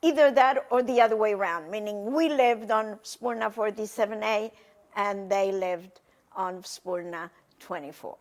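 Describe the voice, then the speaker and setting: An older woman whose first language is Polish, recalling her past slowly and hesitantly in an oral history interview. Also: mid-range voice. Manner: slow, hesitant, reflective, many pauses and fillers. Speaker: interviewee, testimony witness. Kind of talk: recorded oral history testimony.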